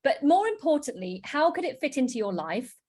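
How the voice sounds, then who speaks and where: A woman's voice: sits high, very animated, fairly quick, a little mellow. A British woman in her thirties from the UK, giving an enthusiastic online business presentation over a video call. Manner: enthusiastic, upbeat, gushing.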